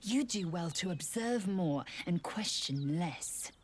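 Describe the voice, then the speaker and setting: A woman's voice: on the low side, expressive, slow pace, slight background noise. A woman playing a severe young female alien warrior in a video game, with an imperious, disdainful, formal delivery. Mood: haughty, didactic. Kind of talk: scripted video game character acting.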